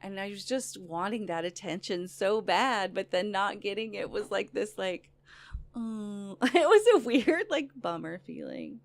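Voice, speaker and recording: Lively, chatty woman co-host. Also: mid-range voice, measured pace, no echo. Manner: lively storyteller, many fillers like "like", laughs often. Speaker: podcast co-host. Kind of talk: casual chat.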